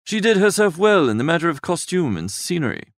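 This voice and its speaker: High-pitched, animated male storyteller. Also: brisk, no echo, clean studio sound. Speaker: audiobook narrator. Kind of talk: expressive first-person literary narration.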